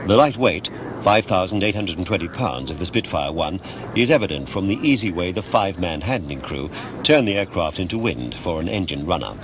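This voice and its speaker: A man's voice: sits low, animated, fairly quick, noisy background. A man reading scripted documentary narration in a formal, precise, factual manner.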